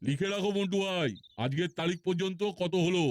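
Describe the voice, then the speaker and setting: A man voicing a smooth-talking cartoon petty crook with an informal, wheedling manner. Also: high voice, a little fast, no room sound, close to an everyday voice. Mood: casual.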